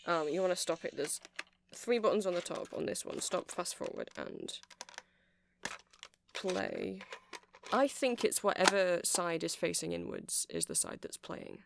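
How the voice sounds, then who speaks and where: Performer as male vampire shop clerk, nerdy, awkward, friendly, creaky voice, hesitant rambling, ASMR roleplay, faint background noise. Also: medium pitch, wildly swooping pitch, unhurried, exaggerated voice. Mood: rambling, helpful. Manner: rambling, hesitant, apologetic, self-correcting.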